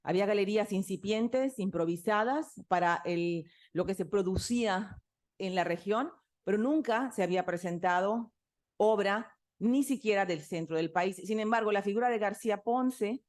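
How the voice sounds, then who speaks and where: A woman's voice: mid-range voice, normal speed, a little mellow. A woman with a Mexican Spanish accent, a former culture reporter, recounting history at a conference. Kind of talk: conference talk.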